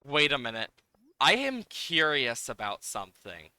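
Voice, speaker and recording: A man's voice: mid-range voice, huge pitch swings, unhurried, bright, forceful tone, smooth, no room sound. A man livestreaming a game, talking casually and playfully as he thinks aloud in a rambling way. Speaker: livestreamer, host. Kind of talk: casual live game-stream chat, reacting to game and viewers.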